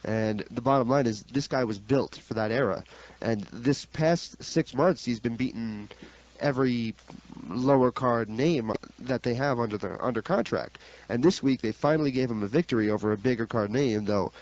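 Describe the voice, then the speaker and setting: Male co-host, medium pitch, explanatory and opinionated, slightly muffled lo-fi sound. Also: moderate pace, a little mellow. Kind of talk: casual analytical commentary.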